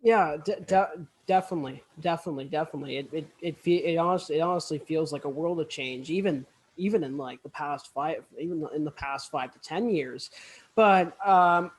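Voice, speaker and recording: A young man with a fairly high voice, chatting as a podcast co-host. He is halting, circling back and repeating phrases several times before finishing them. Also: breathy edge, rough recording. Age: young adult. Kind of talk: casual podcast interview chat.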